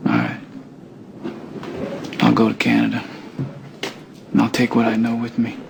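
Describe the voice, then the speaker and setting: A man's voice: medium pitch, big pitch swings, a little slow, gravelly, heavily acted. An actor playing a brooding young man facing arrest, speaking in an earnest, heavy-hearted way. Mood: resigned. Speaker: young man in a soap opera. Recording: noisy TV soundtrack.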